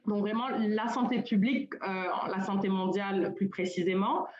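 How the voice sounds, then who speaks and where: A woman's voice: medium pitch, fairly even intonation, normal speed, darkish tone. A young woman from Senegal giving an informal conference talk, hesitant and full of fillers and restarts. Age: young adult. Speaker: colloquium panelist. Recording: roomy, dull lo-fi call audio.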